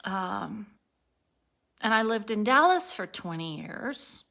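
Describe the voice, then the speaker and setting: Woman, 60s, unhurried video-diary chat, bright tone, worn out, self-correcting and giggly. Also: medium pitch, very animated, creaky. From born in Turkey, raised abroad, lives in Colorado. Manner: rambling, self-correcting, laughs at herself. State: tired, a little spacey. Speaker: vlogger. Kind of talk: casual daily video diary.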